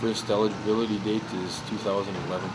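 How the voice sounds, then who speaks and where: Flat-voiced young man, matter-of-fact. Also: fairly low pitch, quick pace, background noise. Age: 20s. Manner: matter-of-fact, listing times, with fillers. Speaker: inmate serving a life sentence. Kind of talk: documentary interview.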